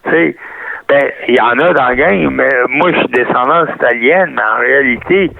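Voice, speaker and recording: An older man with a Québécois French accent, a guest reminiscing in an interview, rambling and halting with many restarts, heard over a phone line. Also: mid-range voice, creaky, no room sound. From Canada. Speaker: guest, retired wrestler. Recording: tinny phone-line sound, dry.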